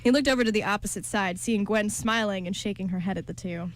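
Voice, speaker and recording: A young woman narrating a romance script aloud for an amateur radio show, in a steady storybook manner. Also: medium pitch, fast talker.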